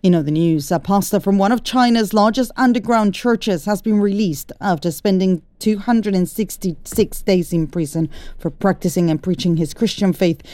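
A woman reading a radio news bulletin in a steady, clear manner. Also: mid-range voice, moderate pace, few pauses, no room sound. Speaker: newsreader. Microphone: studio mic.

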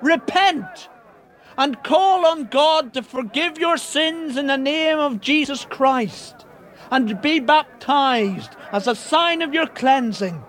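Peter the apostle, as in a radio play, is earnest and plain-spoken. His voice sits unusually high and moves at an unhurried pace. He sounds sure of himself, urging and steady. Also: full character voice. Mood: earnest preaching. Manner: earnest, plain-spoken, urgent when preaching. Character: Peter, bold fisherman apostle, middle-aged man. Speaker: preacher to a crowd. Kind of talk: radio drama acting.

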